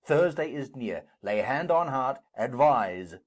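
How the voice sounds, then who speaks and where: A man playing a furious, domineering father in a Shakespearean dramatic reading, with emphatic, halting delivery. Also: mid-range voice, sing-song. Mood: furious, threatening. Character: furious domineering father threatening to disown his daughter.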